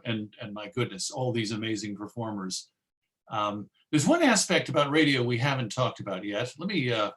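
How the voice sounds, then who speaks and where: A man speaking as a radio host giving an informal online presentation, chatty and self-deprecating, with a halting delivery. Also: mid-range voice, a bit bright. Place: remote video presentation.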